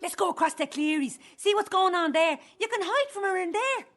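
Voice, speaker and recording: A woman's voice: extremely high pitch, animated, a little fast, a bit bright. A woman playing a cheeky, innocent-sounding Dublin child in a radio drama. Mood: innocent, curious. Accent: Dublin Irish.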